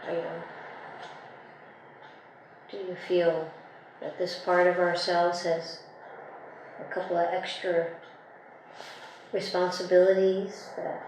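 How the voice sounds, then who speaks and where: A woman leading a guided meditation, speaking gently and unhurriedly in short phrases with long pauses. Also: fairly low pitch, flat delivery. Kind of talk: guided meditation, soothing slow instruction. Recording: very echoey room, faint background noise.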